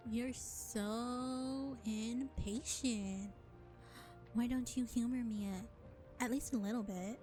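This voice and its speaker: A woman playing a sly, self-serving vampire bar owner in an audio roleplay, speaking in a sardonic, condescending drawl with deliberate pauses. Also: fairly high pitch, fairly even intonation, dark tone, faint music behind. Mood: condescending teasing. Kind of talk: scripted audio roleplay acting addressed to listener.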